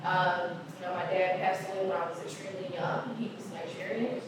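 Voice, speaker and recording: A young American woman in a casual panel discussion, talking haltingly with many pauses and ums. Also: lowish voice, steady tone. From United States. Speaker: panel guest. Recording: echoey room, distant mic, background noise.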